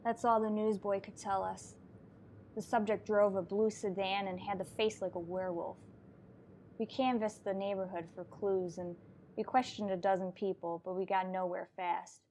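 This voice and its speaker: An actress plays a tough female detective sergeant in an old-time radio crime drama. She narrates in a terse, deadpan style at a middling pitch, all business and matter-of-fact. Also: moderate pace, slight background noise, natural voice. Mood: grim narration. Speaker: narrator and lead actor.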